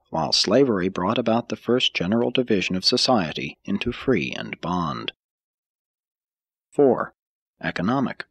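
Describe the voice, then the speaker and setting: A man narrating an audiobook from a script in a measured, formal way, with deliberate emphasis. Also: on the low side, a bit bright, slightly rough, no room sound.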